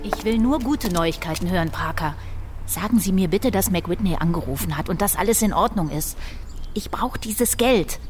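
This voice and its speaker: A woman's voice: fairly low pitch, expressive, normal speed, a little mellow, soft background music, lightly acted. An actress playing an impatient, demanding female accomplice with a dry manner in a German radio crime drama.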